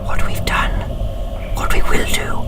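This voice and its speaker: Guy doing a creepy hungry lizard creature, all slow hissy whispers, sci-fi audio play. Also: lightly acted. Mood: grim, sinister. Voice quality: whispered hiss. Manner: slow, sinister. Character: sinister lizard alien henchman, hungry and cruel. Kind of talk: audio drama creature acting. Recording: loud music under the whisper.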